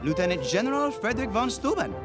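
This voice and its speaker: An actor playing a dashing young general, speaking in gallant, flowery phrases. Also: male voice, high-pitched, very animated, quick pace. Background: music score.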